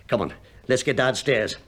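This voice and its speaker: A man's voice: mid-range voice, slow pace, a bit bright. An actor playing a breezy, dryly joking male investigator with a British accent in a radio play. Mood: wry, intrigued. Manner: dry joking, casual. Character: wry, breezy male investigator bluffing his way in.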